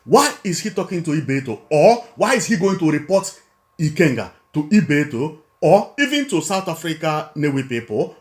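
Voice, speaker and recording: Animated, high-pitched Nigerian man commentating. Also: moderate pace. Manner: emphatic, repetitive, rhetorical questions. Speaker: online video commentator. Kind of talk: informal political commentary, repeating and explaining points.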